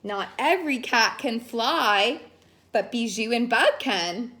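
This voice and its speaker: A woman with a high, very lively voice reads a children's story aloud. She takes her time, stretches out words for effect and chats to her young listeners as a teacher would. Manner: expressive, sing-song, exclaiming, drawn-out words. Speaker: teacher reading a picture book aloud.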